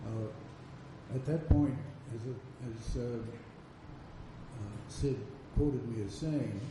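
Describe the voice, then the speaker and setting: An older man tells measured stories from his reporting days. He sounds distant from the microphone in a heavily echoing room. A layer of background noise runs beneath his voice. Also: medium pitch. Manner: measured storytelling. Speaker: veteran magazine reporter on a panel. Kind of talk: panel discussion recollection.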